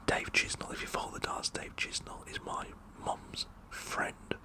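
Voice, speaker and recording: A British man whispering a rambling, jokey, blokey ASMR roleplay monologue. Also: unhurried. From United Kingdom. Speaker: ASMR performer.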